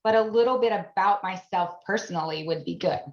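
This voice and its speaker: An earnest woman in her late forties, German her first language, explaining her aims in steady, repeating phrases and laughing easily between answers. She sits in an echoey room, and the video-call sound is somewhat dull and lo-fi. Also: mid-range voice, brisk. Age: 40s. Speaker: guest, AI education business founder. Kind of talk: interview conversation.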